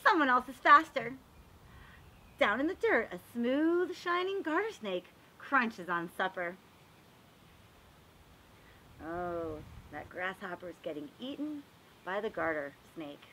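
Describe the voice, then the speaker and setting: A woman reading a picture book aloud to young children, animated and expressive, pausing to explain and ask questions. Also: a little high, a little hiss or hum, rough recording. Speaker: read-aloud storyteller for children.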